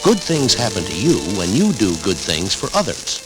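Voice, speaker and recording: A man's voice: on the low side, huge pitch swings, normal speed, low-quality recording. A man narrating a scripted children's story on a vintage record. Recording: vintage 78rpm record with music.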